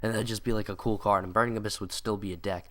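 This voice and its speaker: A man's voice: on the low side, fairly even intonation, fast, a bit bright. A young man with a stuffy nose from a cold, giving rambling casual commentary with lots of "like" and "um". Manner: rambling, many "like" and "um" fillers. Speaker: card game video creator.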